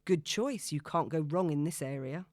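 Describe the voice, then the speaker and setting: A woman voicing a smooth, breezy, noncommittal man on a date, with a casual, glib manner, in an audiobook. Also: deep voice, normal speed, a little mellow, no echo, clean studio sound, lightly acted. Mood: easygoing, charming. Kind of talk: audiobook character dialogue.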